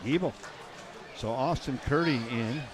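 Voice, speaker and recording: An older man doing play-by-play for a baseball game, chatty and folksy, with light jokes between pitches. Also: a little slow. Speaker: play-by-play announcer. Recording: crowd noise in the background.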